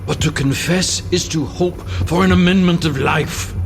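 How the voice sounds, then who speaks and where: High, creaky, commanding captain. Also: male voice, a little hiss or hum. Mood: stern. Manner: barked, halting orders. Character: commanding, urgent male ship's captain. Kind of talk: audio drama acting.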